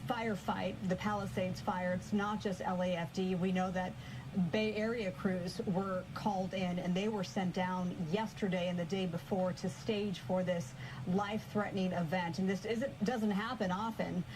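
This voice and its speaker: A woman's voice: medium pitch, steady tone, moderate pace, noisy background. A woman with an American accent anchoring live breaking news on television.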